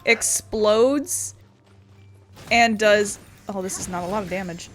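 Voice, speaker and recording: A woman's voice: medium pitch, takes it slowly, fairly bright tone. A woman giving casual, rambling let's play commentary as a gaming streamer. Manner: rambling, informal. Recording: game music behind the voice.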